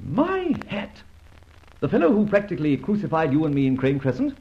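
An actor playing a suave, cheeky gentleman adventurer in an old radio drama, well-spoken and jaunty with theatrical exclamations. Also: on the high side, huge pitch swings, fairly quick, slightly rough, exaggerated voice. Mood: amused, feigning surprise. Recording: muffled, hissy old radio recording.

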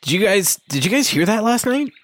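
A man voicing a level-headed teenage half-orc boy, speaking plainly and patiently to a slow-witted friend. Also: sits high, sing-song, fairly bright tone, slight background noise, pitched above the performer's own voice. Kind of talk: in-character roleplay.

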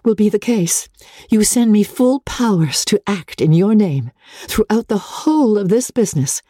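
An earnest, formal uncle of a period novel setting out family business, read by a woman. The tone is mellow and careful. The sound is dry and close, with a businesslike storybook delivery. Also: medium pitch, expressive, normal speed, no room sound, natural voice. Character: earnest middle-class uncle reading out his letter.

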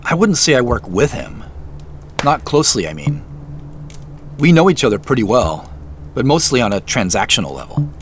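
A man's voice: medium pitch, big pitch swings, normal speed, long pauses, slight background noise, natural voice. An actor playing an uneasy, wry everyman investigator in a naturalistic audio drama, confiding and hesitant, muttering to himself at times. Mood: earnest, explaining. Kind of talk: naturalistic audio drama acting with first-person narration.

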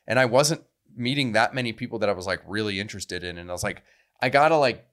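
A man's voice: on the low side, animated, fast, a bit bright. A man co-hosting a podcast in casual banter, wry and mock-incredulous, with some casual swearing. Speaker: podcast co-host.

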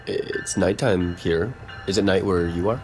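An actor playing an awkward, lonely botanist in an audio drama, earnest and stammering, with a husky voice and formal wording. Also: deep voice, unhurried, darkish tone, faint music behind. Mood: hesitant, tentative. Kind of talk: naturalistic audio drama dialogue.

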